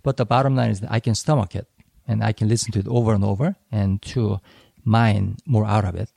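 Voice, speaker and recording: A man whose first language is Korean, co-hosting a podcast and explaining ideas in a thoughtful, slightly halting way. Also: lowish voice, fairly even intonation, creaky, darkish tone, dry recording, studio quality. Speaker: podcast co-host. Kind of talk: casual educational discussion.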